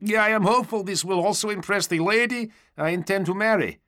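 A man's voice: sits high, a little fast, bright, forceful tone, voice pushed up. A man playing a cheerful, chatty foreign soldier in an audiobook, polite and eager, with non-native phrasing. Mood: cheerful, hopeful. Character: cheerful, chatty, optimistic young male foreign stormtrooper.

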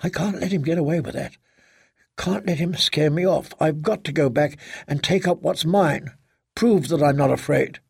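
A frightened young woman in an audiobook drama, acted out by a man. The speech stumbles and pleads in a childlike way. The voice is husky with some fry, recorded very dry and close. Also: fairly high pitch, no echo, exaggerated voice. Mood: scared, insistent. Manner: halting, stammering, childlike pleading. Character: frightened, slurring grown daughter, pleading and fragile. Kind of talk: dramatic audiobook character reading.

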